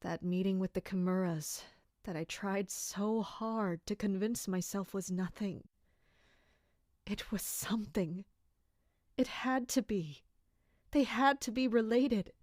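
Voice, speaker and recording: An anguished female captain in an audio drama. Her voice sits fairly high and she speaks very slowly, with brooding intensity. The sound is close and dry, and her bitterness and sense of duty run through it. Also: no room sound. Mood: regretful. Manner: slow, brooding, emotionally intense.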